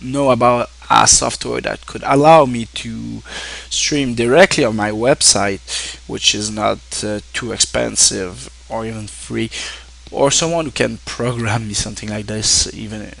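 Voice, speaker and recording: A French-speaking man from Quebec talks to his viewers in English with a Québécois French accent. His mid-pitched voice has a gravelly fry. He stops, restarts and fills gaps often as he goes. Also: fairly even intonation, a bit bright, poor audio. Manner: halting, with many fillers and self-restarts. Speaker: streamer. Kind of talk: casual thank-you talk to viewers.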